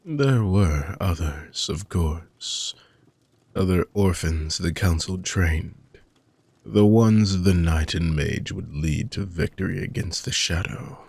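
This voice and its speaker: A man playing an ancient, weary dark mage villain with a gravelly, husky voice, speaking in soft, deliberate, formal phrases as an intimate fantasy audio roleplay monologue. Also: low-pitched, no echo, heavily acted. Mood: solemn, brooding. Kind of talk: slow, intimate dramatic monologue for sleep-aid audio roleplay.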